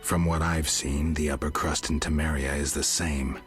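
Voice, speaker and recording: An actor playing a stoic, world-weary monster hunter in a video game, terse and deadpan. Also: male voice, very deep voice, normal speed, faint music behind. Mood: guarded. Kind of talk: video game dialogue acting.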